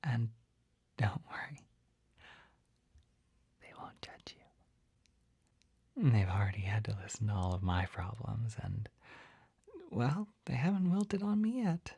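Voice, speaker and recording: An actor voicing a shy, flustered, gentle poison-selling apothecary in a soft, breathy, hesitant whisper for an ASMR roleplay. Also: medium pitch, expressive, dry recording, full character voice. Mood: gentle, helpful. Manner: hesitant, halting, apologetic, easily embarrassed.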